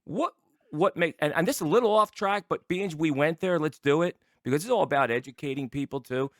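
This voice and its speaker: A man's voice: a little high, brisk, dry recording, studio quality. An older man hosting a casual interview show, asking rambling questions in a conversational way.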